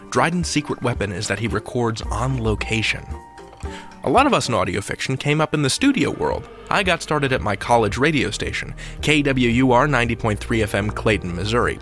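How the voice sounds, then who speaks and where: A man's voice: mid-range voice, a bit bright. An American man hosting a podcast countdown with playful, theatrical scripted narration. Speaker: podcast host.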